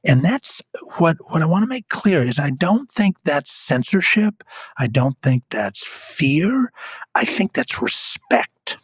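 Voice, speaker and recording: A man speaking in a reflective discussion, making his points deliberately and with emphatic repetition. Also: on the high side, very animated, breathy edge, no room sound. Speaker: theater discussion panelist.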